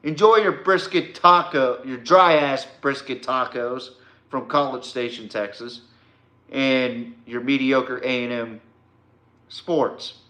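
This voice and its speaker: A man hosting an informal sports podcast, ranting bluntly and mockingly in a halting way with repeated words. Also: medium pitch, pushed and bright, echoey room. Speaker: podcast host.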